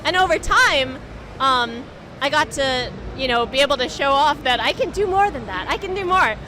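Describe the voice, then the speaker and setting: A high, bright-toned woman from the United States talks about her voice acting work at a gaming festival. Her pitch swings widely as she laughs often and slips into character voices. A faint crowd murmurs behind her. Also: lively intonation, moderate pace. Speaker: voice actor guest. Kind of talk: casual interview chat.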